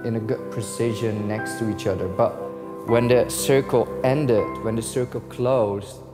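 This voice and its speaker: A man's voice: medium pitch, fairly even intonation, unhurried, over music. A man from the Netherlands walking through his new shop, telling its story with enthusiasm and acting out his phone calls with the artists. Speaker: store owner giving a tour. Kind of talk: casual walkthrough narration. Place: inside a large shop.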